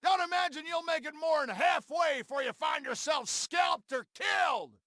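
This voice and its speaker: An actor playing a sly, boastful old western sheriff in a video game, gravelly, folksy and mocking. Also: male voice, very high voice, normal speed, pushed and bright, dry recording, shouting, clean studio sound. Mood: warning, heated. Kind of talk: scripted game cutscene acting.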